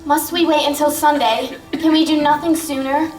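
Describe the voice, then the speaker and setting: Actress doing sweet pleading young lady, high voice, radio play on stage, far from the mic. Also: level tone, normal speed, lightly acted. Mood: anxious. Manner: pleading, polite. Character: anxious, tender-hearted young lady. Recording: echoey stage recording from far off.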